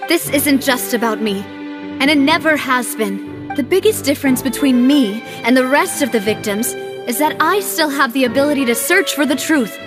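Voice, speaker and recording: An actress playing a passionate, determined young woman seeking justice in a game, earnest and deliberate. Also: high-pitched, lively intonation, over music. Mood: impassioned. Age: young adult. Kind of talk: dramatic game cutscene acting.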